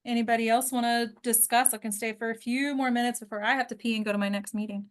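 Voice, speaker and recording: A woman's voice: a little high, steady tone, fast, clear, resonant tone. A woman hosting and coaching a casual group discussion, explaining things in an encouraging way. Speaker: host and acting coach.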